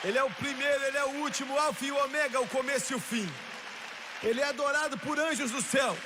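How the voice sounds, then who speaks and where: A man preaching a fervent revivalist sermon, shouting exultantly with emphatic repetition. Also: very high-pitched, quick pace. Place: large reverberant hall with congregation. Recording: echoey hall, noisy crowd behind.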